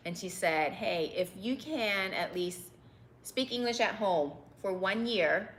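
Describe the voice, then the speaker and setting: A woman's voice: medium pitch, animated, takes it slowly, a bit bright, a little hiss or hum, low-quality recording. A woman from Texas raised in Bangkok, a restaurant owner telling her story in a candid interview, with many ums and easy laughs. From Texas and Bangkok, Thailand. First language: Thai.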